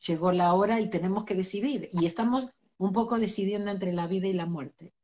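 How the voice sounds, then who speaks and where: Middle-aged woman guest, earnest, mid-pitched talk with easy laughter. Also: fairly quick, darkish tone. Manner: earnest, laughs between points. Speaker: interview guest, spiritual teacher. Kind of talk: reflective conversational interview.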